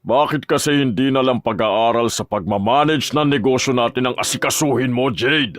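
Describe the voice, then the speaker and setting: A man's voice: medium pitch, normal speed, faint music behind, natural voice. An actor playing a stern, wealthy older businessman father, lecturing firmly in an audio drama.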